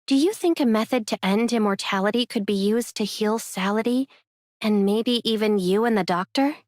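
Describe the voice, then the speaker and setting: A woman's voice: medium pitch, moderate pace, no echo, clean studio sound, natural voice. A woman voicing a skeptical young protagonist in an anime game, guarded and questioning in manner. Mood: hesitant.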